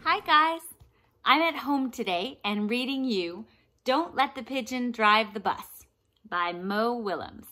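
A woman in her thirties leads a picture-book read-along for children at home. Her voice is bright and very expressive, with wide pitch movement, and she takes her time as she puts questions to the young listeners. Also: slow. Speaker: art teacher reading aloud. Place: small room at home.

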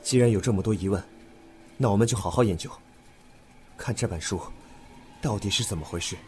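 A man acting in a Chinese audio drama as an earnest, thoughtful young man, expressive and musing. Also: on the low side, a little fast, slight background noise, lightly acted. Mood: resolved.

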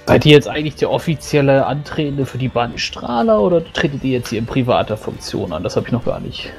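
A man with a creaky, mid-pitched voice chats in character during a tabletop role-playing game. Soft music plays behind him. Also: expressive, quick pace. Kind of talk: casual in-character game talk.

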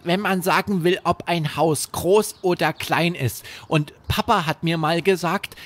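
Young boy , acted by a man: high, slow, simple earnest talk, a little worried, bedtime audio story. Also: fairly bright tone, exaggerated voice.

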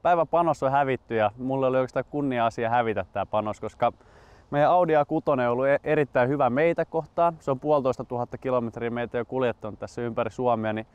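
A man's voice: medium pitch, darkish tone, smooth. Young man presenting, slightly muffled sound. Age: 20s. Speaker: host. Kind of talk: scripted-style presenting and casual chat.

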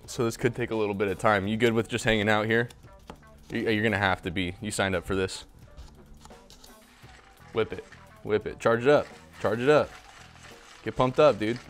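A man's voice: on the low side, moderate pace, long pauses, fairly bright tone, faint music behind. A young man hosting a casual home cooking show in a kitchen, chatting upbeat while talking to a silent mascot beside him, on a lapel mic. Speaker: host.

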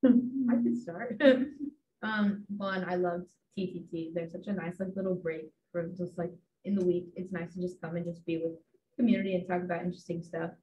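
A woman's voice: on the low side, steady tone, normal speed, dark tone, slight background noise. A young woman from the Los Angeles area hosting a casual panel, chatty with lots of fillers and easy laughs. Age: young adult. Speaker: panel host and student staffer. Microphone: video call. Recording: dull video call audio.

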